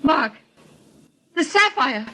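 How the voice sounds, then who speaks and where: An actress playing a frightened woman guest in a 1940s radio mystery, halting and melodramatic. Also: high voice, big pitch swings, pushed and bright, exaggerated voice. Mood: horrified. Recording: noisy vintage 1940s radio recording.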